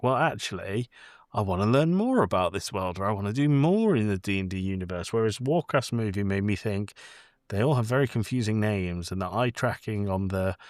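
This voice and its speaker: A man's voice: on the low side, dry recording, studio quality. A man co-hosting a casual podcast, giving blunt opinions in a conversational way with some swearing. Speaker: podcast co-host. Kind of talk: casual movie discussion.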